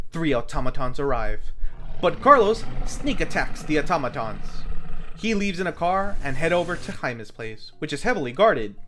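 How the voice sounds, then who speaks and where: Animated, higher-pitched man narrating over music. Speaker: film review host. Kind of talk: scripted narration, movie plot recap.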